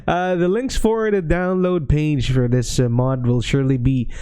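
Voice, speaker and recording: A man with a Filipino accent giving relaxed gameplay commentary as a channel host, talking hesitantly with many fillers and restarts. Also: on the high side, normal speed, clean tone. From Philippines.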